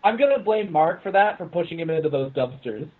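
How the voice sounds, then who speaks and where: High-pitched, animated man on a tinny line. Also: brisk. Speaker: co-host. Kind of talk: casual joking film commentary among friends. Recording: tinny, narrow voice-call audio.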